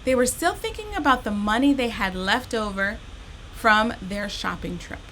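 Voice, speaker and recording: A woman hosting a children's storytime, reading aloud and speaking directly to young listeners in an animated, expressive, encouraging way. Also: on the high side, moderate pace, a little hiss or hum, poor audio.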